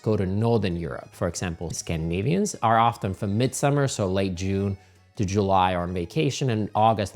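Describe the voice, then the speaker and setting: A middle-aged man, giving casual, animated travel advice as a channel host. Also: fairly low pitch. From Finland.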